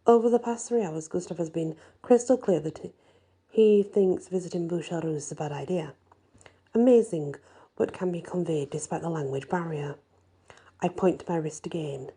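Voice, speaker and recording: A woman reads a first-person fantasy story in an ASMR style, her voice soft, husky and breathy. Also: fairly low pitch, normal speed. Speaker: audiobook-style story reader.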